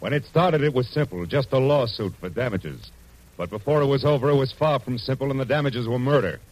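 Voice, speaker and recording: An American actor playing a weary, sardonic private detective in the clipped, hard-boiled style of 1940s radio noir. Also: male voice, mid-range voice, brisk, a little mellow, lightly acted, low-quality recording. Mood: ominous. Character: wry, weary, hungry male private detective. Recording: old radio recording with music behind.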